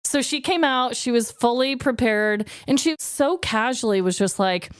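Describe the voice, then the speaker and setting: A woman's voice: on the high side, moderate pace, clear, resonant tone, no echo, studio quality. A woman co-hosting a podcast, telling stories casually and comically in an informal, giggly manner. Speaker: podcast co-host. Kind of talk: casual comedic storytelling chat.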